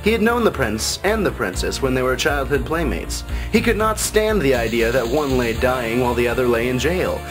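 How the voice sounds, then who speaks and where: A man's voice: medium pitch, very animated, normal speed, few pauses, music in the background, rough recording. A man reading a scripted fairytale as a storybook narrator.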